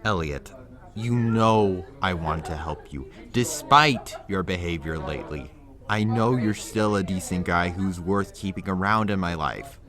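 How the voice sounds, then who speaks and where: A man's voice: low-pitched, unhurried, a crowd in the background. An actor playing a blunt young man who pushes his friend with firm, lecturing tough love in an audio drama. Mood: earnest.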